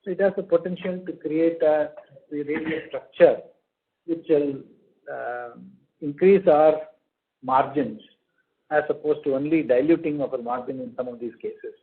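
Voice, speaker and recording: A man, a company executive, answering questions on an earnings call in a halting, measured way. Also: fairly high pitch, steady tone, breathy edge. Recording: muffled narrowband conference phone line.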